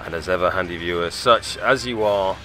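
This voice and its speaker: A man's voice: low voice, a little fast, music in the background, rough recording. A British man hosting a tabletop game recap, talking casually in a wry, self-deprecating, rambling way. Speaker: host of a tabletop game battle report channel. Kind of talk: casual scripted-free game recap commentary.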